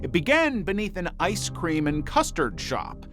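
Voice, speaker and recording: A man's voice: sits high, very animated, a little slow, a bit bright. A man reading scripted documentary narration in a dramatic storytelling manner. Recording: voice over prominent background music. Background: music.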